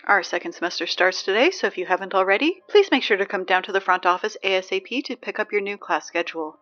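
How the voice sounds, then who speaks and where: A woman playing an anxious, wry high school girl reading morning announcements in an audio drama, rambling with sardonic, hesitant asides. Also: animated, quick pace, fairly bright tone, muffled recording, faint music behind, natural voice. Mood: wry, grudging.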